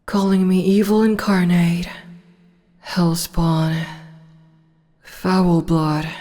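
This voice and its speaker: A regal demon empress, played by a woman, purrs her taunts in a low, mellow voice with the odd whisper. She speaks very slowly and lingers on each word. Her voice trails off into a long reverb. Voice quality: soft, slow and teasing, with whispered asides. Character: teasing female demon empress, regal and amused. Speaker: voice actor. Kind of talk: ASMR audio roleplay.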